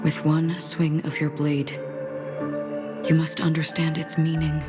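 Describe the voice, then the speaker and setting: Low, slow, somber swordswoman. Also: monotone. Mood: solemn counsel. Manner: grave, measured, hesitant. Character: somber, guilt-burdened swordswoman, stoic and solemn. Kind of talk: video game voice acting. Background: background music.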